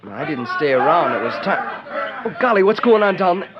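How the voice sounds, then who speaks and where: Eager western youth, lightish voice, animated, earnest, old radio drama. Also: male voice, fairly high pitch, fairly quick. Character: earnest, eager boy sidekick in the Old West. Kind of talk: 1940s radio western acting. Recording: lo-fi noisy 1940s radio recording.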